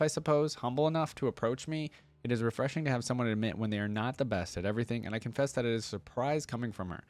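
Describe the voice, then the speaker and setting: A self-doubting young outcast girl of game lore read out by a man speaks formally and wryly. The voice is lowish and moves quickly, recorded close and dry. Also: dry recording, close to an everyday voice.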